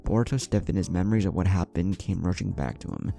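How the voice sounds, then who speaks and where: A man's voice: sits low, fast, creaky, mellow. A man reading an adventure story as a dramatic scripted narration. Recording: background music under the voice. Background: music.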